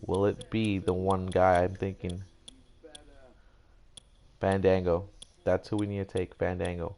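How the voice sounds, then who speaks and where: A man's voice: low-pitched, creaky, a little mellow. A young man doing casual, rambling mock-announcer commentary for a video game draft, swearing now and then. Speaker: gaming YouTuber.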